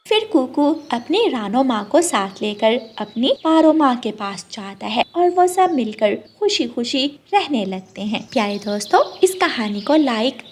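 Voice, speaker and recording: A woman's voice: sits high, slight background noise. A woman reading scripted narration for a children's moral story.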